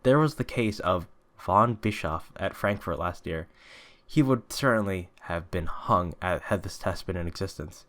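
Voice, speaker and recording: Storybook detective, played by a male reader: proud, eccentric, eager, declamatory, low-pitched male. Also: animated, moderate pace, a bit bright. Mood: excited, boastful.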